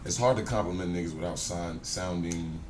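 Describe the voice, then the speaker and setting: Low-ish voiced guy co-hosting, teasing and joking, echoey room with a little hiss. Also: brisk, a little mellow. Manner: playful, teasing. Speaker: podcast co-host. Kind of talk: casual podcast chat. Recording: echoey room with faint noise.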